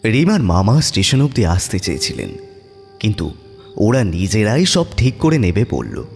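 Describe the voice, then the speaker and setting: Guy narrating a scary story, super expressive, dramatic, soft music under him. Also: lowish voice, moderate pace, gravelly. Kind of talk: scripted horror story narration.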